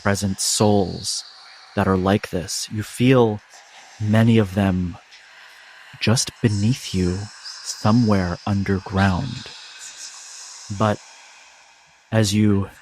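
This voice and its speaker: A man narrating a tabletop game as game master, deliberate and dramatic, leaving suspenseful pauses. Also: lowish voice, steady tone, darkish tone, slight background noise, rough recording. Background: background music.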